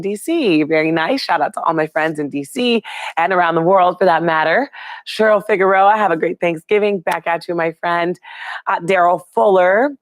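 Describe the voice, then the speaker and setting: A woman, hoarse and raspy from a cold, hosting a live news chat and greeting viewers by name. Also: fairly low pitch, no echo. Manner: chatty, upbeat, throws questions to viewers. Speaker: live show host.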